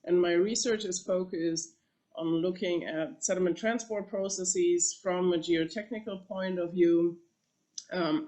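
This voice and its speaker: A woman's voice: fairly low pitch, darkish tone, poor audio. A woman from Germany with a German accent, a professor presenting to a panel in a conversational way with small restarts. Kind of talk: presentation talk.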